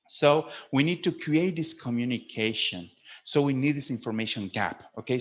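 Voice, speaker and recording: A man presenting a webinar to fellow teachers in a didactic way, stressing key words and often checking in with a short questioning tag. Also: mid-range voice. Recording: dull lo-fi online webinar audio.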